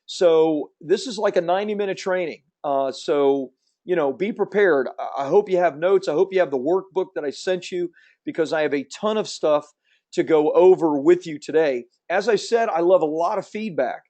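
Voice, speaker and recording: Fast-talking upbeat man, slight Southern accent. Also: on the high side, a little mellow. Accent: slight Southern American. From Central Florida. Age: 50s. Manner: quick, upbeat and salesy. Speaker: webinar host. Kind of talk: fast promotional webinar presentation.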